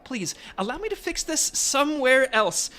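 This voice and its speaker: A man's voice: very high-pitched, big pitch swings, normal speed, pushed and bright, full character voice. A man playing a stammering, overly polite agent. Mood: flustered. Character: flustered male agent Skip posing as waiter. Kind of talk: audio comedy drama.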